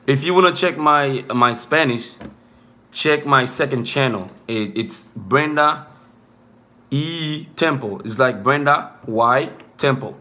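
A man's voice: mid-range voice, slow, pushed and bright. A young man whose first language is not English, casually chatting with viewers in a live stream from a small room, rambling and saying "bro" a lot. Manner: loose, rambling, peppered with "bro" and "you know what I'm saying".

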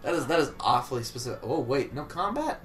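Young man, casual gaming commentary, swinging pitch, joking, sweary, faint music behind. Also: very animated, quick pace, fairly bright tone, distant mic. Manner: joking, rambling, swears freely. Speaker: let's play host.

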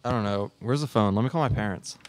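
A man's voice: fairly low pitch, fast talker, slight background noise, close to an everyday voice. A young man playing an urgent, bewildered character in a radio theatre trailer parody. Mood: confused alarm. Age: young adult. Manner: urgent, dramatic. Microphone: microphone on stand.

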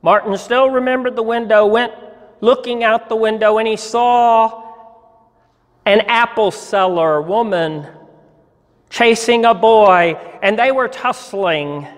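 Slow, high-pitched older man telling a story. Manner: measured, deliberate storytelling with pauses. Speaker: pastor. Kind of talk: dramatic story reading. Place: church sanctuary, standing at a lectern. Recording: reverberant church hall.

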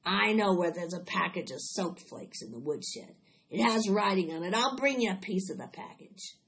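A woman voicing a sullen, grumbling male rat in a storybook, gruff and blunt. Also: mid-range voice, expressive, normal speed, fairly bright tone, highs cut off. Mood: smug.

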